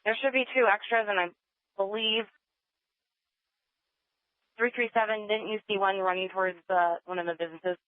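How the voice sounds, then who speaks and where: High-voiced male dispatcher on tinny police radio. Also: fairly even intonation, normal speed, pauses often, smooth, dry recording. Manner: clipped, procedural. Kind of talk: terse radio dispatch, repeating units' reports. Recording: tinny narrow-band police scanner audio.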